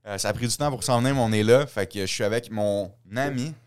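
A man's voice: fairly low pitch, fast, clear, resonant tone, dry recording, clean studio sound. A man with a Québécois accent hosting a podcast, asking questions in an eager, upbeat casual way. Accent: Québécois French. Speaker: podcast host.

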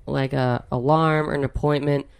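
A woman co-hosting a podcast in casual chat, with a froggy, hoarse voice, chiming in and finishing her partner's sentences. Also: a bass voice, steady tone, moderate pace. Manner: chimes in and finishes his sentences. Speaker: podcast co-host.

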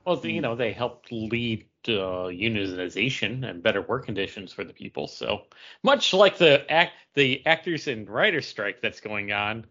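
A man chatting casually as a podcast co-host in a hesitant, halting way. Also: fairly low pitch, sing-song, bright, forceful tone, dull audio. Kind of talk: casual chat.

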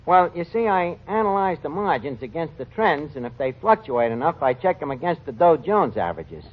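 Slangy, high-pitched New tavern manager. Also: male voice, very animated, moderate pace. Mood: self-important. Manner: slangy, ungrammatical, mock-important. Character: scheming, malapropism-prone tavern manager, streetwise blusterer. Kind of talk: 1940s radio comedy acting. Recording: dull old 1940s radio recording.

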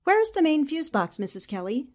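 An actress as a shrewd woman reporter in an old-time radio mystery. Her voice is fairly high, and she probes with formal, determined questions. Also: very animated, a little fast. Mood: probing. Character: determined, shrewd newspaperwoman sleuth, cool and probing. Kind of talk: 1940s radio drama acting.

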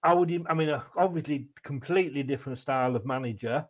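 A man's voice: medium pitch, fast. An English man interviewing a former footballer, asking long, rambling, friendly questions. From England. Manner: chatty, rambling questions with light laughs. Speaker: interviewer, host. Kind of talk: conversational interview.

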